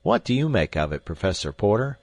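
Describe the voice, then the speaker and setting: A polite young gentleman speaks in a calm, courteous way, as a male narrator voices him. His tone stays close to the narrator's own deep voice. This is a storybook reading. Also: a little fast, no room sound.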